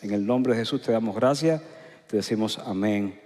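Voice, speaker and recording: A middle-aged man with a Cuban accent, preaching a sermon in a conversational way with frequent filler pauses. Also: lowish voice, darkish tone. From Cuba. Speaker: pastor. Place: large church hall. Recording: long hall reverb.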